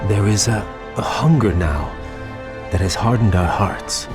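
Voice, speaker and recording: An actor playing a proud, troubled young elf prince in a fantasy game, speaking in a grave, formal way. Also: male voice, fairly low pitch, animated, measured pace. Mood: solemn, troubled. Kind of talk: dramatic fantasy game voice acting. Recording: background music under the voice.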